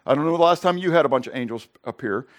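A middle-aged male pastor preaching a sermon with Greek word study, conversational and peppered with "okay?" and rhetorical questions, rising louder on key points. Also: animated, rapid-fire. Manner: rises louder and higher on emphasis.

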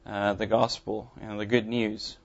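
A man in his thirties from South Africa, an Afrikaans speaker with a mild Afrikaans accent, giving his testimony from the pulpit of a church in an earnest, halting way with many ums. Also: fairly low pitch, little pitch movement, gravelly, muffled recording. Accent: Afrikaans-accented English, mild. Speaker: visiting pastor. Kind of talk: sermon testimony to a congregation. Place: church sanctuary.

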